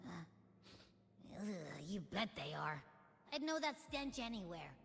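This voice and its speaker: A woman's voice: mid-range voice, big pitch swings, unhurried, vocal fry, a bit bright, breathy edge, full character voice. A woman voicing a brash, boastful little creature companion with a keen nose in a video game. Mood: disgusted.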